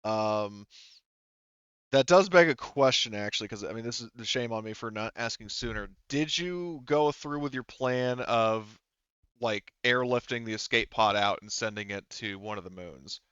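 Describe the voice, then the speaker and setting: A man acting as game master, improvising tabletop narration in a halting, casual way and often backtracking. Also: lowish voice, a bit bright, smooth, no echo, muffled recording.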